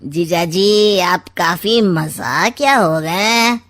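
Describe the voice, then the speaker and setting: Sly, mocking uncle for a comic cartoon story, played by a woman; theatrical drawl, very unhurried. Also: on the low side, fairly bright tone. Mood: sarcastic. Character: scheming, mocking middle-aged maternal uncle, a man. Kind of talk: comic Hindi animated moral story.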